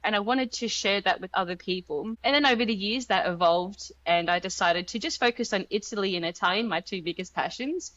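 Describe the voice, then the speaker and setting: Australian woman, mid-pitched, informal interview guest telling personal stories, close dry sound, slightly muffled. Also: brisk, few pauses, a bit bright, no echo. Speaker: interview guest, language guide founder. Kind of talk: casual personal storytelling in an interview.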